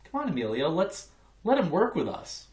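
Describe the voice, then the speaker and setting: A man's voice: a little high, huge pitch swings, moderate pace, a little mellow, roomy sound, voice pushed up. A man voicing a friendly, earnest new schoolboy in a children's storybook. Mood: earnest, persuading.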